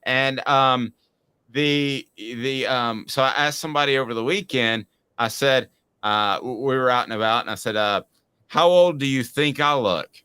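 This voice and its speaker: A man's voice: medium pitch, moderate pace, bright, forceful tone, clear, resonant tone, dry recording. A man in his forties hosting a sports talk show, telling rambling, self-mocking stories in a casual way. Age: 40s. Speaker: sports radio host.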